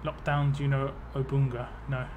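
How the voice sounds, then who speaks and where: A man's voice: mid-range voice, flat delivery, normal speed, narrow, tinny audio, over music. A man casually chatting on a live stream, reading viewer comments aloud and answering them as he plays. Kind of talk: casual live stream chat while playing a mobile game.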